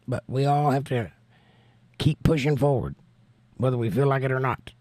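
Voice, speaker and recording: An American man hosting a casual livestream and reading out viewer comments, hoarse from laryngitis, folksy and joking with preachy asides. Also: mid-range voice, moderate pace, a little mellow, dry recording. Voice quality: hoarse, strained. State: laryngitis, losing his voice. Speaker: livestream host.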